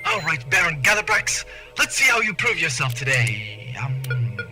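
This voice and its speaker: Man playing a high, dithering space count. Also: huge pitch swings, moderate pace. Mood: forgetful. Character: absent-minded, incompetent male space count. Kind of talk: comic sci-fi audio drama acting. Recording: music behind the voice.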